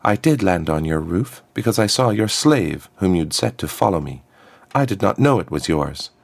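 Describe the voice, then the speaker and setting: A man's voice: low-pitched, very animated, moderate pace, gravelly, no room sound, pitched above the performer's own voice, full character voice. A man playing a proud, hot-tempered young princess in a story, speaking with formal, earnest defiance. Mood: defiant confession.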